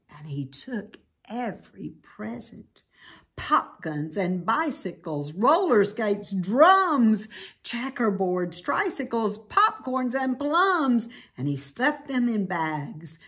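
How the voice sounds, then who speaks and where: A woman reading a children's story aloud with dramatic emphasis and deliberate pauses. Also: medium pitch, slightly rough. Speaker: storybook reader. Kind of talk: expressive children's story narration.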